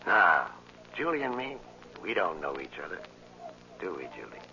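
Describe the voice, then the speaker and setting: A man's voice: low-pitched, sing-song, normal speed, sharp tone, heavily acted. An actor playing a cocky, flirtatious tough guy with slangy, smug swagger in a 1940s radio drama. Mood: smug flirting. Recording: muffled, noisy old radio recording.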